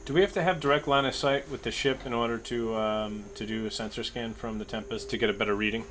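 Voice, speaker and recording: A man's voice: medium pitch, steady tone, fast, fairly bright tone, a little hiss or hum. A man playing in a tabletop roleplaying show, chatting casually with the group. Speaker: player in an actual-play show. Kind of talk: casual tabletop game chat.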